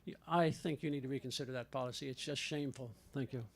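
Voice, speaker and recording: An older retired professor making an indignant, rambling public comment with many fillers at a podium microphone in a school board meeting room. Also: male voice, mid-range voice, fairly even intonation, quick pace, creaky, heavy background noise.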